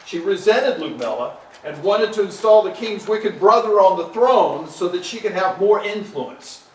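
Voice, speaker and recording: Male stage narrator: high voice, deliberate scripted storytelling, distant mic with faint noise. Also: expressive, roomy sound.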